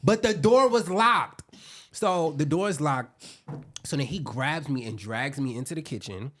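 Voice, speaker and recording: A man with a light voice co-hosting a casual podcast. He talks in an animated, rambling way, restarting his sentences as he goes. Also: a little high, a little slow. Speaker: podcast co-host. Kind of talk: casual chat and storytelling.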